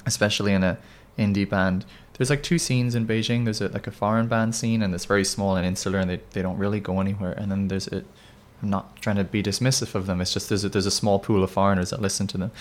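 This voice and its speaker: A man's voice: lowish voice, fairly even intonation, fast talker, few pauses. A man talking as a band member in a casual interview, rambling with many restarts and fillers.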